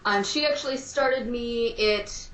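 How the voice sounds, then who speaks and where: A woman's voice: on the high side, measured pace, fairly bright tone. A woman talking as a podcast guest in a chatty, loose way with frequent ums. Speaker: guest, audio drama performer. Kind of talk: casual podcast chat. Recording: roomy, dull sound.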